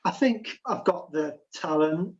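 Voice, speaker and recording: A man doing improvised comedy, talking in a calm, hesitant way full of fillers. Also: on the high side, a little slow. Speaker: improv performer. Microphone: video call. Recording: dull lo-fi video call audio.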